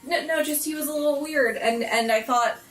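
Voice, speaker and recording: Roomy, distant recording of a woman playing a nervous schoolgirl in a tabletop game. She is kind and hesitant, stammering in a fairly high voice. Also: fairly quick, faint music behind, close to an everyday voice. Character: nervous, kind-hearted schoolgirl, anxious to reassure.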